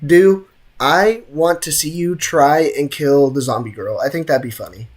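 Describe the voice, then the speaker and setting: A man's voice: on the high side, moderate pace. A young man giving casual, slangy game commentary and joking with his livestream chat. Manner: slangy, jokey, theatrical outbursts.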